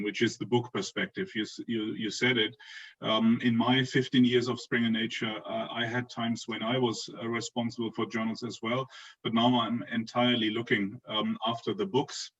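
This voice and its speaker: A man's voice: mid-range voice, fairly even intonation, normal speed, a bit bright. Man, German accent, panelist, hesitant with fillers, distant muffled video-call audio. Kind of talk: remote panel presentation.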